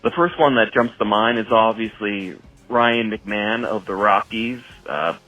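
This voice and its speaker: A man calling in as a sports fan, leaving a casual voicemail in halting, short phrases on a lo-fi recording. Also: fairly low pitch, bright, forceful tone, soft background music.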